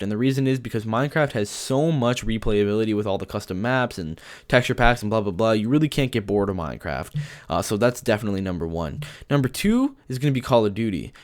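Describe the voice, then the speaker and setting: A young man from Canada with a lisp, hosting a casual gaming-channel Q&A from his bedroom, joking and laughing as he answers. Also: lowish voice. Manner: chatty, joking, laughs often. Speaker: YouTube gaming channel host. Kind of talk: casual Q&A vlog.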